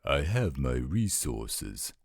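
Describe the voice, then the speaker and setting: A man's voice: extremely low pitch, very animated, slow, no room sound, studio quality, full character voice. A man playing a blunt, roguish supernatural scout who talks in casual street slang, in an audio roleplay drama. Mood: wry, unbothered.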